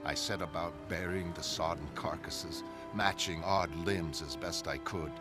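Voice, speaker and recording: A man playing an anguished, grave shipwrecked sailor who narrates a pirate horror tale in solemn, measured, literary phrases. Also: deep voice, fairly even intonation. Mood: grim, mournful. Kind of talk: brooding first-person audiobook narration. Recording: music under the voice.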